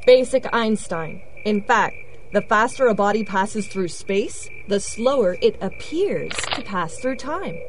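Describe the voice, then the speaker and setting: An actress playing a witty, self-assured quantum physicist in an audio drama, explaining things in a wry way. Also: female voice, medium pitch, animated, unhurried, a little hiss or hum, lightly acted. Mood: wry, explanatory. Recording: outdoor night ambience with crickets, frogs. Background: crickets and frogs.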